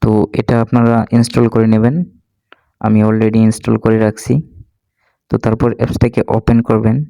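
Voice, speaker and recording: Flat, mellow man giving a tutorial. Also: fairly low pitch, normal speed, gravelly, no room sound. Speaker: tech tutorial host. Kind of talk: step-by-step screen tutorial narration.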